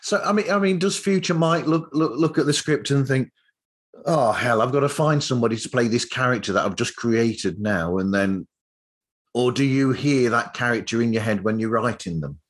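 Man, medium pitch, podcast interviewer, casual chatty delivery. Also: moderate pace.

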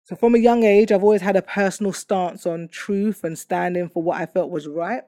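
A woman's voice: on the low side, moderate pace, no room sound, clean studio sound. A woman giving a personal testimony, talking candidly and reflectively with many fillers and restarts. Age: adult. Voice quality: loud voice. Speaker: testimony speaker.

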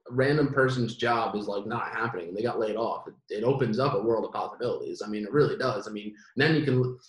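A man's voice: mid-range voice, roomy sound, poor audio. A man in his late twenties, a podcast guest, talking casually and at length with lots of restarts and fillers. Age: 20s. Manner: rambling, animated, many restarts. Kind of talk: casual conversation.

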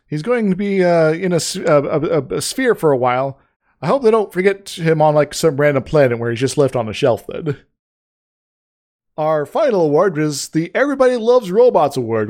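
A man co-hosting a casual podcast, getting over an illness with a sore throat, talking haltingly with many restarts and fillers. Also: fairly high pitch, darkish tone. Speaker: podcast co-host.

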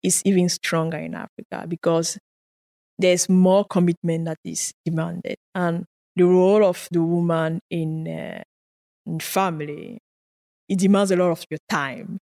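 A woman's voice: lowish voice, flat delivery, measured pace, dark tone. Woman, earnest. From Cameroon. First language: French. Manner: earnest storytelling, occasional laughs and repeated words. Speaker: guest research scientist. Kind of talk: conversational interview answers.

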